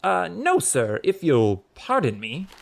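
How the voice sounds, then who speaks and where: A man's voice: fairly high pitch, wildly swooping pitch, takes it slowly, gravelly, slight background noise, voice pushed up, full character voice. A man voicing a cheerful, eager young bellman, new to the job, speaking politely and hesitantly in an audiobook.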